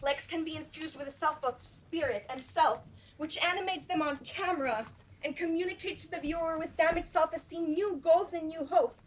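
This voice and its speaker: A smooth-talking, pompous casting agent, played by a teenage girl on a school stage. Her voice sits high, with faint noise in the background. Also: moderate pace. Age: teens. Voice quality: pompous, salesy. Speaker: student actor. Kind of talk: school stage play acting.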